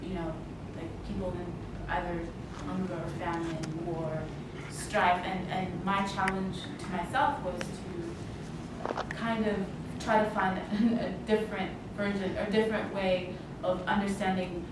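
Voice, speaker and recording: A woman's voice: on the low side, level tone, unhurried. A woman moderating a conference panel in a casual, chatty way. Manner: casual, chatty, many likes. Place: conference hall. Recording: echoey hall, distant mic, loud noise.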